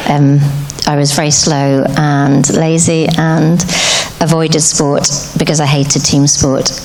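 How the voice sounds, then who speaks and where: Low-voiced woman, self-deprecating. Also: flat delivery, slow, darkish tone, echoey room, a little hiss or hum, rough recording. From Aberdeen, Scotland. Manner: self-deprecating, hesitant with many ums. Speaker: guest runner being interviewed. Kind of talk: casual on-stage interview. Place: evening event in front of an audience.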